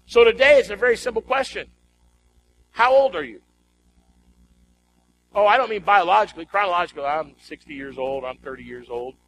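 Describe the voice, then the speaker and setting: A man's voice: high-pitched, expressive, a little fast, pauses often, a bit bright, rough recording. A man preaching a sermon as a pastor, emphatic and exhorting, repeating phrases and putting rhetorical questions to his congregation.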